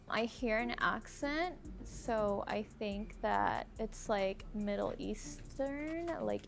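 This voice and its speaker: A woman in her twenties reasoning slowly and tentatively in a group chat. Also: mid-range voice, gravelly. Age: 20s.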